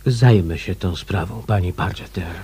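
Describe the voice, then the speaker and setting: Mellow, husky private detective. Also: male voice, sits low, normal speed. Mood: gallant. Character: genial, loyal private detective agency boss. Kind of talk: radio drama acting.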